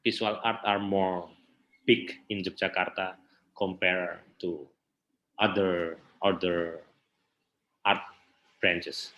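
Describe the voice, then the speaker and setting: A man with an Indonesian accent explaining things in an interview, halting and searching for his words. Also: low-pitched, flat delivery, fairly bright tone, muffled recording. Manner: halting, searching for words in non-native English. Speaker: interview guest, theatre researcher and festival director.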